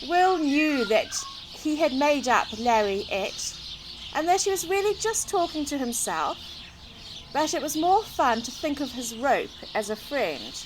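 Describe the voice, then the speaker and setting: A high-pitched woman narrates a children's storybook at an unhurried pace. Her delivery is lively and varied, as if speaking to small children. Birdsong and noticeable outdoor noise sit behind her voice. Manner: expressive, addressing young listeners. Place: outdoors in a garden. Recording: outdoor recording with clear background noise, birdsong.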